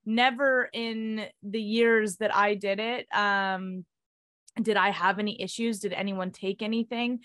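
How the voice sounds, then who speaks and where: A woman's voice: medium pitch, steady tone, a little slow, a bit bright, no room sound. A woman guest explaining how she ran room rentals, in a practical, casual way with many fillers. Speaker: guest, short-term rental host. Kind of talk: casual interview answers.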